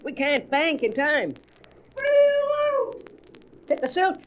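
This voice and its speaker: Man as a high-pitched, frantic military pilot. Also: animated, slow, a bit bright, exaggerated voice. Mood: alarmed. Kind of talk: comic book audio drama acting. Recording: noisy, dull old record.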